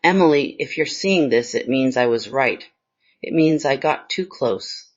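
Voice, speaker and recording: A man's voice: medium pitch, lively intonation, unhurried. A man playing a grave, fearful investigator mother in a screenplay reading. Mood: grave, urgent. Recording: slightly dull, lo-fi sound.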